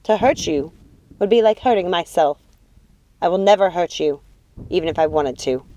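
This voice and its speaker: A woman's voice: fairly low pitch, normal speed, mellow, close to an everyday voice, low-quality recording. Imperious witch mother, played by a female narrator: scornful, possessive, commanding, storybook villainess. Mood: tender, possessive. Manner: scornful, commanding, theatrical delivery. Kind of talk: audiobook character acting.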